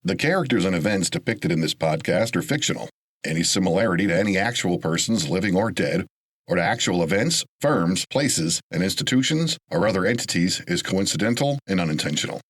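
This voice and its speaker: A man's voice: deep voice, expressive, fairly quick, fairly bright tone, husky. A man reading a formal scripted legal disclaimer as an announcer.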